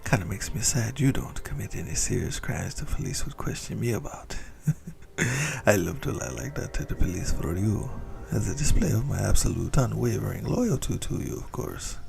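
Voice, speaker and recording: A man voicing an obsessive, doting vampire in an intimate ASMR roleplay, with a breathy whisper and theatrical, adoring relish. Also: lowish voice, animated, normal speed, darkish tone. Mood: wistful, teasing. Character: obsessive lovesick male yandere vampire, doting, gleefully indulgent.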